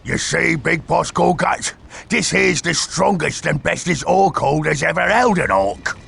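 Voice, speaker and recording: A man voicing an excitable, boastful Orc underling in a video game cutscene, with a gravelly voice and broad Cockney speech. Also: a little high, a little slow, exaggerated voice. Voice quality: gravelly, creaky. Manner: broad, uneducated, exclamatory brute speech. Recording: music behind the voice.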